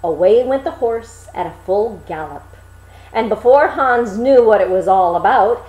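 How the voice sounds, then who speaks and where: Woman storyteller, fairly high voice, lively swooping intonation, expressive narration, echoey room. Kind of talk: expressive fairy-tale narration. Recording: roomy echo.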